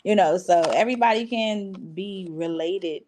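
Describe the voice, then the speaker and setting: A woman's voice: mid-range voice, normal speed, darkish tone. An American woman speaking casually as a guest in an interview.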